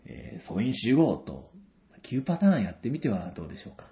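A man's voice: on the low side, big pitch swings, brisk. A man hosting a joking radio chat with a guest, bantering in a playful, mock-polite way, on a lo-fi recording. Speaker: host interviewing a guest. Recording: dull lo-fi audio.

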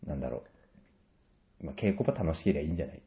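Very deep-voiced young man, animated intonation. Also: racing. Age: late 20s. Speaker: guest, stage actor. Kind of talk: joking radio chat. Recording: dull lo-fi audio.

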